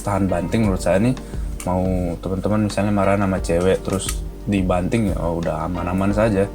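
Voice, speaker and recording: A man with an Indonesian accent giving a casual, chatty gadget review to viewers, explaining things informally. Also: lowish voice. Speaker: product reviewer.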